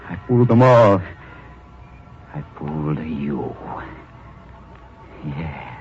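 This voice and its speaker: An actor playing an obsessed, cunning mad sculptor in an old radio drama, talking in low intense mutters. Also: male voice, sing-song, extremely slow, darkish tone, faint music behind, heavily acted. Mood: obsessive. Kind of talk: 1940s radio acting. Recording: dull lo-fi old radio recording.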